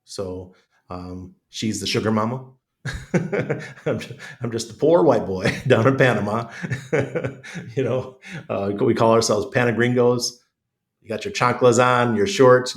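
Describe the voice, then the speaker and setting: A middle-aged American man with chest congestion, chatting casually and bluntly as a podcast guest. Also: lowish voice. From United States. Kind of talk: casual conversational interview.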